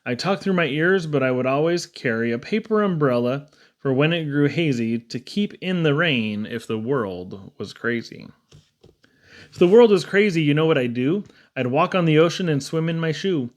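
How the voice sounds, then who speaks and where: A man's voice: fairly high pitch, lively intonation, normal speed. A man reading humorous children's poems aloud in a theatrical, playful storytelling manner. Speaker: poetry reader.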